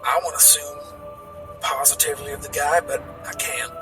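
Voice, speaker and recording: A man playing a weary, battered, grimly pragmatic survivor in tabletop roleplay, speaking haltingly in a strained voice. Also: on the high side, flat delivery, distant mic, music in the background, close to an everyday voice. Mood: grave, strained. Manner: halting, hesitant, repeating words.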